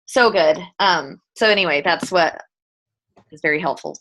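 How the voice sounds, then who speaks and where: A woman's voice: fairly low pitch, normal speed, fairly bright tone. A woman hosting a team call, giving a casual, encouraging recap in an informal way. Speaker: host, team leader. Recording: noisy call audio with clicks.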